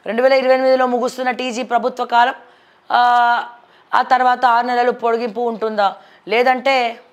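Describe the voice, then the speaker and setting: A woman's voice: fairly high pitch, little pitch movement, brisk. A woman news presenter with a Telangana accent, reviewing the papers and commenting on politics in a conversational, blunt, questioning way. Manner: blunt, questioning, addresses people directly.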